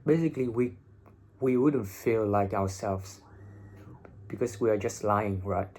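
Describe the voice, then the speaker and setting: A young man from Vietnam with a Vietnamese accent and a slightly husky voice, giving blunt, informal English-learning advice and swearing freely, recorded on a phone. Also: lowish voice, unhurried, a little mellow. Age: young adult. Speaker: English teacher and video host. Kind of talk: casual advice talk to camera. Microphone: phone, no separate microphone.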